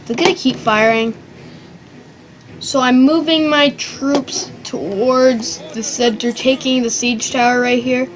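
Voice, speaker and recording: A woman hosting her own channel, giving loose, excitable commentary on a strategy game battle, rambling and swearing now and then. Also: high-pitched, unhurried, noisy background. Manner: rambling and excitable, swears, does silly voices. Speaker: gaming channel host.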